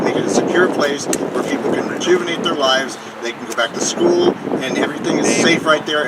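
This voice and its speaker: A man's voice: mid-range voice, distant mic. A man at an outdoor community meeting, arguing his point with indignation. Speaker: resident. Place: outdoors by a roadside. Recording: loud outdoor wind noise.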